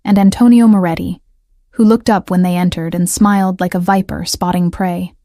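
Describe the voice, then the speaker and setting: A woman narrating a dramatic crime story in an even, measured reading. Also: on the low side, no echo, studio quality.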